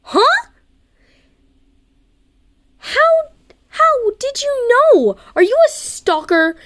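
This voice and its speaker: A woman's voice: very high voice, sing-song, very slow, a bit bright, voice pushed up, full character voice. A woman voicing a brash, suspicious eleven-year-old girl in an amateur story reading, blunt and dramatic. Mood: alarmed, accusing. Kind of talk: amateur audiobook character voice.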